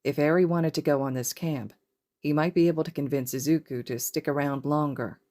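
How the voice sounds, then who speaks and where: A woman's voice: low-pitched, steady tone, brisk, warm tone. A woman reading a scripted story aloud as a fiction narrator. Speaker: podfic narrator.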